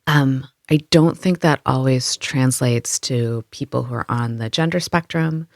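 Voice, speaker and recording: A woman who runs history tours in the city, answering interview questions in an animated way, thinking aloud with many fillers in the local language. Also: rumbling low, measured pace, dry recording, clean studio sound. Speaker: tour guide and storyteller. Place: Amsterdam.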